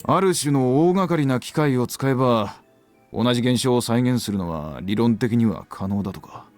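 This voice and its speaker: A man's voice: mid-range voice, animated, quick pace, darkish tone, faint music behind, lightly acted. An actor playing a brooding, analytical middle-aged male detective in a Japanese visual novel.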